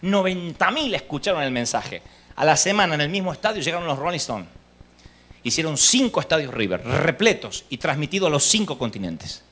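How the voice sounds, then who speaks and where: Bright-voiced Argentine preacher man. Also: on the high side, brisk. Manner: lively storytelling, mimics people in his anecdotes; rises to loud exhortation. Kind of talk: sermon at a church congress. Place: church congress hall.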